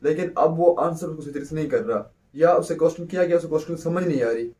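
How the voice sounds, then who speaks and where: A man giving a casual law lecture, explaining slowly, restarting phrases and asking rhetorical questions. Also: a little high, dark tone, dull audio. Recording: voice a little far from the mic.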